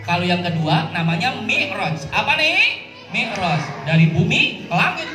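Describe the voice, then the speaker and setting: A woman's voice: mid-range voice, very animated, measured pace. A woman telling a religious story to children, asking her listeners questions and repeating key words. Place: outdoor mosque yard event over loudspeakers. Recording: echoey PA, distant, with crowd and music.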